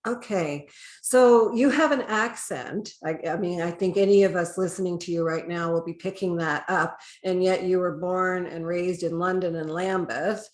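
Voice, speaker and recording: A woman hosts a career interview podcast with a lowish, steady voice. She asks her questions in a measured, encouraging way. The room adds a noticeable echo. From Canada. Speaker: podcast host and HR consultant.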